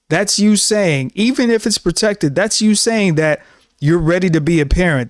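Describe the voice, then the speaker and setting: A man's voice: fairly high pitch, no room sound, clean studio sound. A man in his thirties giving an earnest, preachy talk to camera as a show host, often repeating himself. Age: 30s. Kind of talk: casual monologue to camera.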